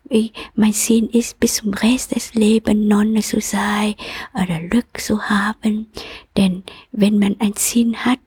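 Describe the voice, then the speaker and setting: Interviewte Nonne, Frau mittleren Alters mit vietnamesischem Akzent, hauchig, langsam, ruhig. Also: female voice, medium pitch, a little mellow, breathy edge. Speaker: Buddhist nun, interviewee. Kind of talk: calm reflective interview answers.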